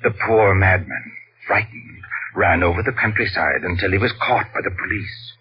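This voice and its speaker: An actor playing a mysterious madman back from the dead, slow and cryptic, in 1940s radio horror style. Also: sits low, expressive. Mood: ominous, hushed. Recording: dull old radio broadcast, noisy.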